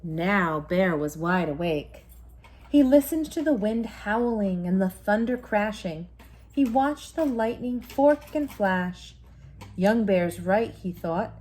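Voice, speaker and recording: A woman's voice: medium pitch, lively intonation, slow, darkish tone. A woman in her thirties reading a children's picture book aloud at home, with dramatic emphasis on the sound words. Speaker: mother reading a bedtime story. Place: room at home, indoors. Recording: noisy room, home recording.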